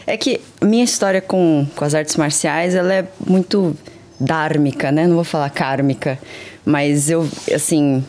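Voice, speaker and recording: A woman with a Brazilian Portuguese accent, a guest on a podcast, speaking in a thoughtful, halting way. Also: deep voice. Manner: thoughtful, halting, laughs softly. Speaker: guest, kung fu master. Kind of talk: casual interview talk.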